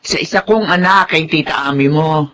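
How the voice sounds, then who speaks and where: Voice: medium pitch, normal speed, fairly bright tone, natural voice. An actor playing an elderly, disapproving grandmother with a Filipino accent in a radio drama. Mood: matter-of-fact, disapproving.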